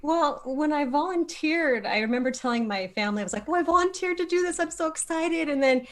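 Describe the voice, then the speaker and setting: Woman, fairly high voice, excited, gushing, casual storytelling. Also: fairly quick. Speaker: amateur table-read guest. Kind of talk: casual anecdotal chat.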